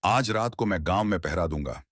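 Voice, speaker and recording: A man's voice: low-pitched, expressive, fairly quick, fairly bright tone, breathy edge, no room sound, studio quality, full character voice. A man playing a self-assured, solemn village tantric in a dramatized horror story. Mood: reassuring. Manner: solemn, declarative.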